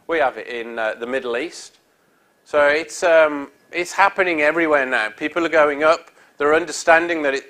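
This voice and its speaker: A man's voice: on the high side, fairly bright tone. European man giving a trade talk. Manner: upbeat salesy delivery with many fillers. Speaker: industry speaker. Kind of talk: conference presentation. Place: conference hall stage.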